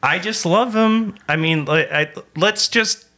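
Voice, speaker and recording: A man in his forties hosting a casual movie podcast, stammering and animated, joking as he talks. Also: sits high, a little slow, background noise. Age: 40s. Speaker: podcast host.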